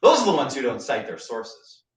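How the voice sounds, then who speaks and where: A man's voice: medium pitch, huge pitch swings, moderate pace. A man playing a bitter, drunken man tormented by grief and guilt in a staged reading, with a mocking, theatrical, needling manner. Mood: bitter, scornful. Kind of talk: dramatic monologue in a staged play reading. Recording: roomy, echoey, distant mic.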